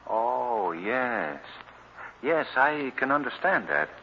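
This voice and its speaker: An actor playing a courteous, composed gentleman sleuth in an old radio drama, speaking in a polite, measured way. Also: mid-range voice, big pitch swings, highs cut off. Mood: polite, thoughtful. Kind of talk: 1940s radio acting. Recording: hissy, band-limited old radio recording.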